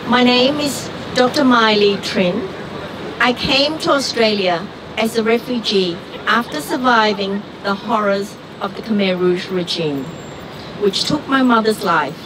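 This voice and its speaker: A woman from Vietnam, giving an earnest, deliberate prepared speech at an outdoor rally. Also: medium pitch. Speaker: rally speaker, doctor. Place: outdoor street gathering. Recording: far-off street recording with traffic noise.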